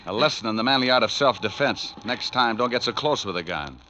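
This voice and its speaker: A man's voice: medium pitch, lively intonation, a little fast, heavy background noise, natural voice. A man playing a wisecracking, sardonic New York private detective in a 1940s radio drama, hardboiled and flippant. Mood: cocky, threatening. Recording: muffled old radio broadcast recording.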